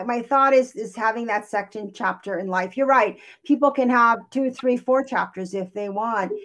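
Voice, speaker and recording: A woman's voice: medium pitch, vocal fry. A woman from Hawaii, a guest life coach in an interview, speaking earnestly and reflectively. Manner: earnest, reflective, many fillers and restarts. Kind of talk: interview conversation.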